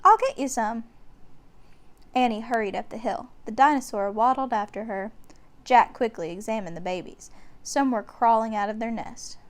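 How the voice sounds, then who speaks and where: A woman with a fairly high voice reads a children's book aloud as storyteller. She narrates with dramatic emphasis on the descriptive passages. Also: normal speed.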